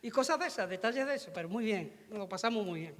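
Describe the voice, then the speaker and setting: A man's voice: sits high, lively intonation, quick pace. A man with an Andalusian Spanish accent speaking informally at a presentation as a sound technician, in a chatty, colloquial way. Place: large indoor hall at a public event. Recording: echoey hall, long reverb.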